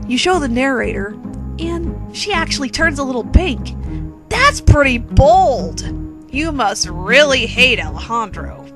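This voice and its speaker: A woman giving a theatrical, playful and sarcastic dramatic reading of a comic parody story in the second person. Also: high voice. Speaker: fan fiction reader. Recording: quirky background music under the voice. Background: quirky instrumental music.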